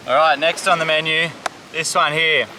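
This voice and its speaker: A young man from Samoa hosts a survival vlog, talking in an upbeat, enthusiastic way with a bright, ringing voice pitched a little high. He is recorded outdoors on a tropical beach, with faint surf noise behind him. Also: fairly even intonation, moderate pace, low-quality recording. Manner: upbeat, enthusiastic tour-guide talk. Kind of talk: casual outdoor explainer.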